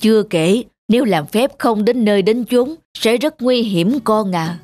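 An actor playing a gentle old male bear wizard mentor in a children's cartoon, speaking patiently and earnestly. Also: mid-range voice, lively intonation, measured pace, faint music behind, natural voice. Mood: concerned, kindly. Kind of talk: dubbed children's moral cartoon.

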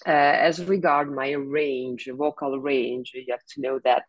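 Middle-aged woman, big low voice, slow unhurried talk, dry close sound, halting with fillers. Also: level tone, no room sound, highs cut off. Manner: halting, many fillers, laughs often. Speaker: singer, interview guest. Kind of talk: casual video call interview. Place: home room, seated in office chair.

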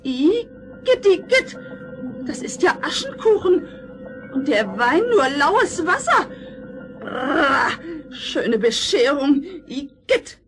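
A woman's voice: high voice, very animated, slow pace, fairly bright tone, faint music behind, heavily acted. An actress playing a spiteful, envious, greedy girl in a fairy-tale radio play, scornful and whiny. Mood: disgusted.

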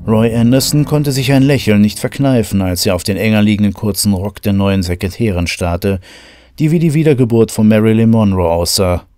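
A man's voice: fairly low pitch, brisk, mellow, smooth, no echo, faint music behind. A man narrating a scripted horror audio drama.

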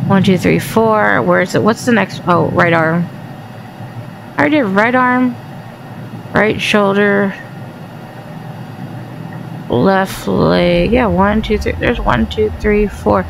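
A woman with a Southern drawl gives raspy commentary on a horror game, talking back at the spirits. Her pitch sits in an ordinary middle range. A faint hiss sits under the desk-mic recording. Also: takes it slowly, pauses often. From Texas. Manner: talks to the game and its ghosts, jumpy and chatty. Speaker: gaming channel host.

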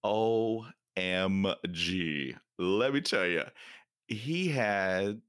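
A man's voice: on the low side, animated, drawn-out words, fairly bright tone, smooth, no room sound, studio quality. A man who stutters, hosting a podcast interview and telling stories animatedly with laughter. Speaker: podcast host.

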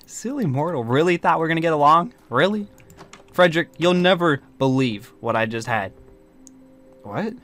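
A man's voice: medium pitch, very animated, measured pace, long pauses, a bit bright. A man playing a sarcastic, vengeful warlock with a mocking, theatrical manner in an improvised video game roleplay. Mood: gleeful, incredulous. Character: sarcastic, vengeful male warlock leading a coven. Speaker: roleplay actor. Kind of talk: improvised Minecraft supernatural roleplay. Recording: music behind the voice.

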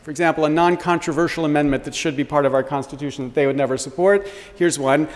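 A middle-aged American man, a law professor, giving measured formal opening remarks at a lectern in a wood-panelled lecture hall, speaking into a podium microphone. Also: a little high, steady tone. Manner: measured, deliberate, gestures while speaking at a lectern. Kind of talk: formal conference address. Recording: long reverb of a lecture hall.

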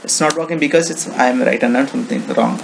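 A man with an Indian accent presenting an informal software walkthrough in halting, repetitive, non-native English phrasing. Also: mid-range voice, creaky. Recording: noisy home-made screen recording.

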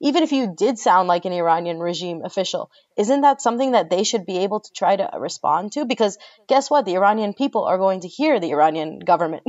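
A woman's voice: lowish voice, a little mellow, no room sound. A woman academic, a guest on a podcast, making her case earnestly through a string of rhetorical questions. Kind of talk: conversational podcast interview. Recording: dry, dull lo-fi remote audio.

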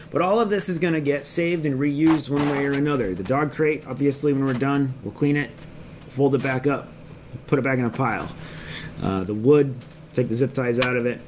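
A man in his thirties casually narrating a how-to homestead vlog in a basement workshop, easygoing and full of ums. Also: medium pitch. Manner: easygoing, rambling, many ums. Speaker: homestead vlogger. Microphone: action camera on the body. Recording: noisy basement with chickens clucking. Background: chicks cheeping.

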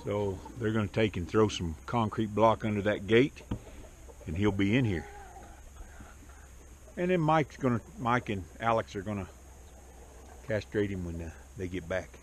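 Low-voiced American man vlogging outdoors over noise. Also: normal speed, pauses often. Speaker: homesteading vlog host. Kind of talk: casual vlog commentary. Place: outdoors on a homestead and at a lakeshore. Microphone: handheld action camera. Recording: outdoor camera audio with background noise.

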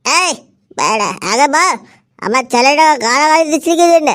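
A woman's voice: high voice, animated, moderate pace, fairly bright tone. A woman voicing a hard-drinking, broke village father in a comedy cartoon, whining and defiant. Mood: defiant, quarrelsome.

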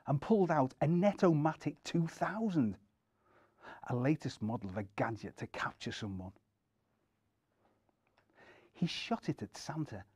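A middle-aged man reading a children's story aloud in an expressive storytelling style. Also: on the high side, slightly rough, no room sound. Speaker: story reader.

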